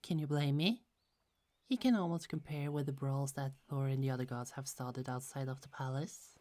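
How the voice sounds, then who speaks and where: A woman voicing a gentle young goddess who keeps golden apples, speaking in a soft, earnest way that hesitates a little. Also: rumbling low, moderate pace. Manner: soft, earnest and a bit hesitant, with small stumbles. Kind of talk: ASMR audio roleplay.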